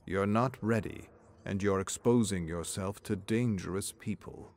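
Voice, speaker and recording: Man voicing a grave, low-pitched middle-aged informant. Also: a little slow, darkish tone. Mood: grave warning. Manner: grave, cautioning. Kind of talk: audiobook character acting.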